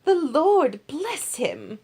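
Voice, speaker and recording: A woman's voice: sits high, huge pitch swings, very slow, a little mellow, voice pushed up, full character voice. A woman voicing a kindly older mother-in-law in a Bible story, giving motherly instruction with emphasis. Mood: delighted.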